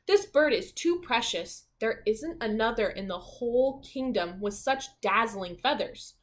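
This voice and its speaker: Woman reading as a spoiled, high-pitched storybook princess. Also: lively intonation, a little slow. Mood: possessive. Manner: imperious, exclaiming.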